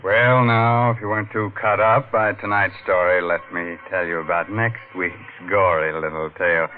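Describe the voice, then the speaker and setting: A man's voice: low voice, steady tone, normal speed, phone-quality sound, over music. A man hosting a 1940s radio horror show in a tongue-in-cheek, mock-sinister drawl. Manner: mock-sinister, drawling, chuckling between macabre jokes.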